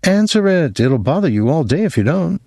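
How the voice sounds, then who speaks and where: A man's voice: on the high side, big pitch swings, fairly quick, darkish tone, dry recording, clean studio sound, voice pushed up. A man voicing an eager, coaxing young woman.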